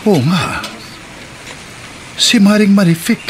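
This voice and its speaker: Excitable filipino best buddy, highish voice swinging up and down, urgent, radio drama. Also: male voice, takes it slowly. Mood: musing, then shocked. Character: excitable, loyal middle-aged male buddy bearing news. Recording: radio drama with background noise.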